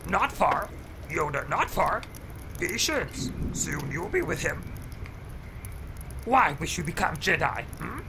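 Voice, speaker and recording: A man playing a tiny ancient alien master in an audio drama, mischievous, cranky and wise, with a croaky voice and inverted phrasing. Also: on the high side, big pitch swings, slow, long pauses, background noise, full character voice. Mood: playful, coaxing. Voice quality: croaky, gravelly. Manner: inverted syntax, chuckles and hums between phrases. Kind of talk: audio drama character acting.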